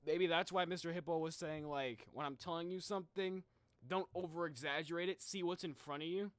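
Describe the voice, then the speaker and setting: A man streaming a casual reaction, thinking out loud in slangy talk and addressing his viewers directly. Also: on the high side, fairly even intonation, brisk, smooth, dry recording. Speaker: streamer, video host. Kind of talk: casual livestream reaction chat.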